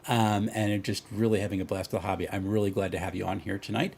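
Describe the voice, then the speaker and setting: A man's voice: on the low side, flat delivery, quick pace, vocal fry, darkish tone, slight background noise. A man hosting a casual livestream chat and introducing his guests. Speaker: host.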